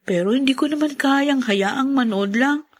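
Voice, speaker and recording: A young woman with a Filipino accent playing a caring younger sister in a radio drama, gently fussing with concern. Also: sits high, unhurried. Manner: soft-hearted, concerned.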